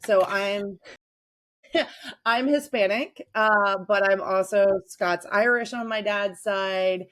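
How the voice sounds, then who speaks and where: A woman's voice: mid-range voice, slow, fairly bright tone. A talkative, enthusiastic woman chatting casually as a guest on a podcast. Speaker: podcast guest, avid reader.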